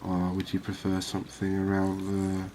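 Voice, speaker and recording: A man giving relaxed, unhurried, musing ASMR-style gameplay commentary, chatting to his viewers. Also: deep voice, slight background noise. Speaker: host of a cozy fishing game channel.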